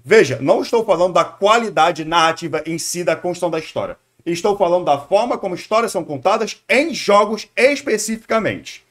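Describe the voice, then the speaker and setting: A man's voice: sits high, animated, brisk, few pauses, a bit bright. A Brazilian man giving casual, opinionated live commentary as a streamer, explaining his views informally with slang. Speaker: streamer reacting to a video.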